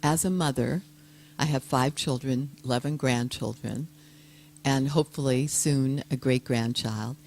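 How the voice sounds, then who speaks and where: Older woman, soft-spoken, gentle, low voice, unhurried talk to an audience. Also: a little hiss or hum. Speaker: guest speaker. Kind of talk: heartfelt personal talk to an audience.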